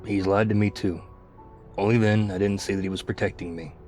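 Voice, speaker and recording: An actor playing a tough, weary, loyal zombie apocalypse survivor in an intimate ASMR roleplay, speaking conversationally. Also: male voice, fairly low pitch, fairly even intonation, fairly quick, darkish tone, soft background music, natural voice. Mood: reflective.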